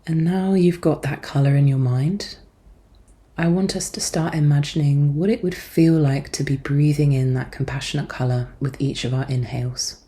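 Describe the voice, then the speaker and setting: A woman speaks in a deep, relaxed voice with a dark, warm tone. She guides a meditation as a therapist would, gently and soothingly. Her delivery is measured and calm, giving each instruction softly.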